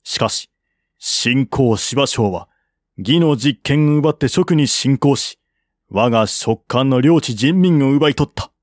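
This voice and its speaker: A man's voice: mid-range voice, slow, dry recording, natural voice. Loyal military adviser read by a man, stern, declarative, mid-range voice, dry recording, historical audiobook. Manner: firm, formal, declarative.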